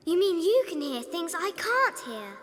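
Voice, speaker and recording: A woman's voice: very high-pitched, big pitch swings, measured pace, big-room sound, heavily acted. An actress playing a curious, polite little girl, eagerly asking questions in a children's audio drama. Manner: eager, well-mannered questioning.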